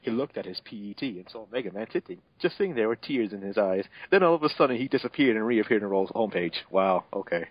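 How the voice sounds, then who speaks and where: A man's voice: fairly low pitch, expressive, fast talker, few pauses, dry recording. A man narrating fan fiction as a mocking dramatic reading, sarcastic and exasperated, breaking off for asides, on a muffled home recording. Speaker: narrator and reader.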